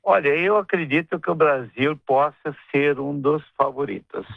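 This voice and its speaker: Gravelly gaúcho man on a phone line. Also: fairly high pitch, no room sound. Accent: Gaúcho Portuguese. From southern Brazil. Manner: rambling, halting, many restarts and tag questions. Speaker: businessman guest. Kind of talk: radio interview. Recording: tinny phone-line radio sound.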